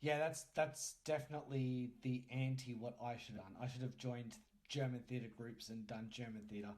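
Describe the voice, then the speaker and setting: A man's voice: mid-range voice, steady tone, moderate pace, a little mellow. A young man hosting a podcast in casual interview chat, joking and bantering as he goes. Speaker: podcast host.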